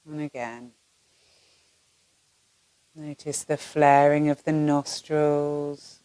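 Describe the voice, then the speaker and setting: A woman teaching yoga nidra, leading a guided relaxation in a soothing, gentle manner with long pauses between phrases. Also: deep voice, little pitch movement, clean tone, no echo, poor audio.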